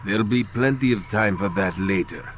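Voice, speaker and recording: Sneering low-voiced rival played by a man. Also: moderate pace, a little hiss or hum, close to an everyday voice. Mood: mocking. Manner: mocking, taunting. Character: sneering, jealous male assassin rival. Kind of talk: dramatic video game acting.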